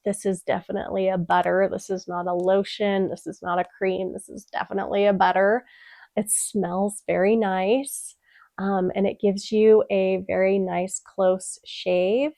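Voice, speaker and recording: A woman's voice: mid-range voice, dry recording. A young woman vlogger with a stuffed-up, nasal voice from a cold, chatting casually through a product review with many "um"s. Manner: chatty, frequent "um" fillers, soft-spoken. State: congested, stuffed-up voice. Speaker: beauty vlogger.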